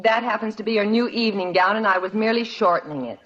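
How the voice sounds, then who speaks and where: An actress playing a patient but sharp-witted middle-aged American wife, dry and firm, in an old-time radio comedy. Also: female voice, mid-range voice, quick pace, natural voice. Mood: matter-of-fact. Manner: dry, firm, gently sarcastic. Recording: dull vintage radio recording.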